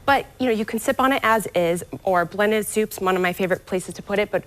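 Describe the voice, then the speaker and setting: A woman's voice: mid-range voice, brisk, low-quality recording. Upbeat woman explaining gut health tips. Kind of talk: TV morning show interview. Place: TV studio.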